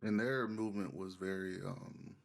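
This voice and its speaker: A man with a low, creaky voice chats casually on a panel. He speaks in halting pieces as he hunts for the right word. There is faint noise with small clicks behind him. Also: steady tone. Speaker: panelist on a nerd culture show. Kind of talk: casual chat.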